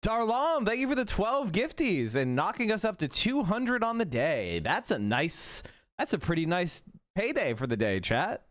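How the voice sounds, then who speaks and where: A man's voice: high voice, huge pitch swings, normal speed, a bit bright, no echo, clean studio sound. A man live-streaming, reacting to a clip and talking to his chat in a rambling, mocking way.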